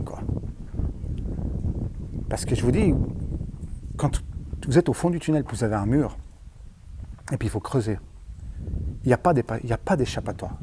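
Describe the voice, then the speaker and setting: A man's voice: mid-range voice, noisy background. A man chatting casually and hesitantly as he tells stories for a vlog, in colloquial speech with trailing tags. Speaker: vlogger, former tunnel worker. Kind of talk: casual rambling storytelling to camera. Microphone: handheld at arm's length.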